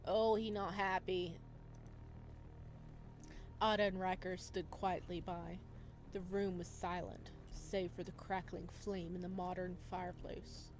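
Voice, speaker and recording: A woman's voice: on the low side, level tone, unhurried, over music, low-quality recording. A woman reading a visual novel aloud as dramatic narration for a let's play.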